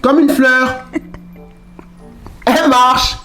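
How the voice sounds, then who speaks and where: A young man casually telling a story to his sister, animated and full of slang, acting out the scenes. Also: extremely high pitch, extremely slow, soft background music.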